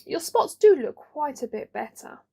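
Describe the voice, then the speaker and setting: A woman's voice: high voice, sing-song, normal speed, vocal fry, warm tone, pitched above the performer's own voice, exaggerated voice. A woman voicing an exasperated but kindly mother with a pointed, parental manner in a children's storybook. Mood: softening.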